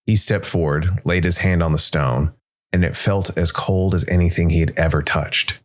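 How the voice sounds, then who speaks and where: A man narrating a scripted dark fantasy story in a measured, deliberate way. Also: low-pitched, steady tone. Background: music.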